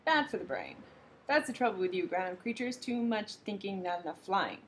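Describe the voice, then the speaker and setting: A woman voicing a loud, cheerful, bossy bird who lectures and laughs heartily. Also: animated, moderate pace, a little hiss or hum, close to an everyday voice. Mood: hearty. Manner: hearty, know-it-all, booming.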